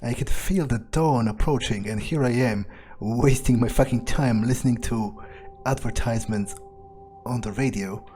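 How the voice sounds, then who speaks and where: A man's voice: medium pitch, moderate pace, warm tone, soft background music. A man narrating a game playthrough in character as a jaded, foul-mouthed vampire, muttering his cynical thoughts aloud. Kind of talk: improvised in-character monologue.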